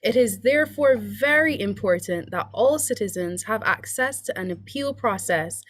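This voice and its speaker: A woman with a Trinidadian accent, a workshop participant reading a prepared statement over a video call, warm and smiling with a sing-song lilt. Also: medium pitch, lively intonation, normal speed, dry recording.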